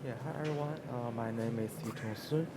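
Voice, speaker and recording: A young man from China, talking as a pianist guest. He speaks in a relaxed, easygoing way. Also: mid-range voice, a little fast, noisy background. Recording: crowd murmur behind.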